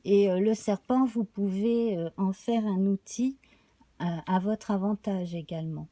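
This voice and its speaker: A woman reads tarot cards for a live audience, her voice slightly hoarse. She speaks slowly and haltingly, filling pauses with small sounds, in a warm, mellow tone. The recording is dry and close. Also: mid-range voice, steady tone, no room sound. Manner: slow, halting, many fillers. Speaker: tarot reader. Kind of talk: live tarot card reading.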